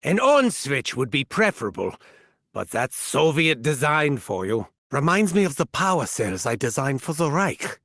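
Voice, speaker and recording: A man's voice: a little high, fairly bright tone, dry recording, clean studio sound, exaggerated voice. A man playing an arrogant, gleefully sadistic German mad scientist in a video game, with a German accent and theatrical, pompous diction. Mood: dry disdain. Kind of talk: theatrical game character barks.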